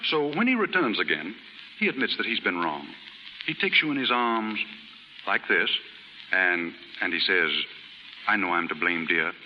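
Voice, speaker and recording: An actor playing a genial but evasive novelist in 1930s radio drama, polite and a little hesitant. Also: male voice, on the low side, slight background noise, close to an everyday voice. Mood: tender, dramatic. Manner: polite, hesitant, gently patronizing. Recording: muffled, echoey 1930s radio broadcast.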